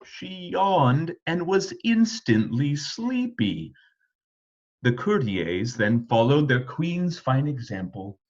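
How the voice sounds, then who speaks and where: Male children's storyteller: slow, very lively intonation, rhythmic verse reading, slightly dull recording. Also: a little high. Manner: rhythmic, animated reading of rhyme. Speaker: storybook reader. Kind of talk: expressive scripted children's storytelling.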